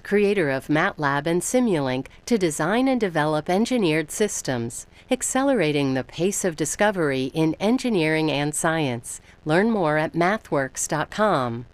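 An American woman announcing funding credits in a scripted radio read. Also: deep voice.

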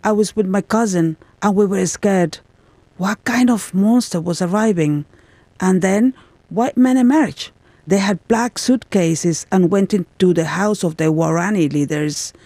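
A woman interpreting a guest's answers in the first person, in a measured, plain delivery in a studio. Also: mid-range voice, dark tone, dry recording.

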